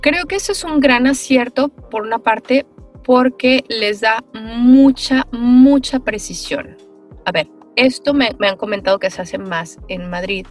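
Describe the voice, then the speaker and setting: A woman, a voice actress answering interview questions on a video call, thoughtful and animated, with many pauses and fillers. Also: on the high side, soft background music. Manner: animated, gestures while talking. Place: small room with foam panels.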